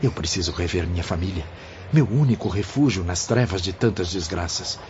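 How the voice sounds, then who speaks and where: A man's voice: low voice, lively intonation, normal speed, darkish tone, a little hiss or hum. An actor in a radio drama playing an impulsive male spirit who longs for home. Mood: yearning. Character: Mário, impulsive, longing male spirit missing his family. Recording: muffled lo-fi, faint background ambience.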